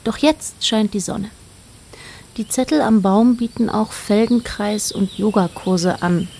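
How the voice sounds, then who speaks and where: A woman narrating a literary radio play in a measured, matter-of-fact way. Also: mid-range voice, gravelly, a little mellow.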